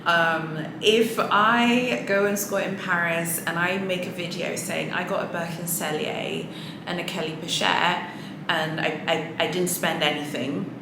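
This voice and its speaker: A woman with a fairly low voice talks casually and earnestly to camera, like a motivational pep talk for her viewers. She restarts her phrases and laughs softly now and then. The room is echoey, and a steady background noise sits under her voice. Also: a little slow. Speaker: luxury handbag vlogger.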